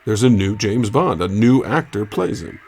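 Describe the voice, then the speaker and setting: A folksy radio reporter in an audio drama. His voice is fairly low with a gravelly creak. He chooses words carefully, hesitant and courteous, keeping a measured, placating manner. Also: lively intonation, darkish tone, slight background noise. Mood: friendly, cautious. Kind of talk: naturalistic audio drama acting.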